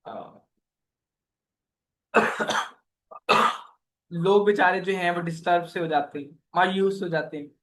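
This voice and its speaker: A man's voice: sits high, steady tone, slow, long pauses, fairly bright tone. A man leading a motivational workshop on earning online, talking earnestly like a preacher and often checking in with "okay". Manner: voices the listeners' questions and prayers.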